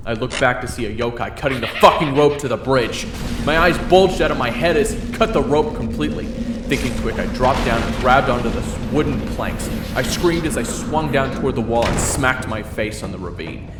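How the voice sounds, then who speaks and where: A man's voice: medium pitch, background noise. A man narrating an audio roleplay, telling the action to the listener in the second person and swearing now and then. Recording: echoey room, loud music underneath. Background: loud game soundtrack music.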